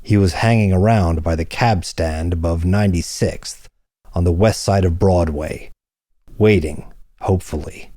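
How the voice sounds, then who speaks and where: A man reading hardboiled crime fiction as an audiobook narrator, in a measured, deliberate storytelling manner. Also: low voice, dark tone, no room sound, clean studio sound.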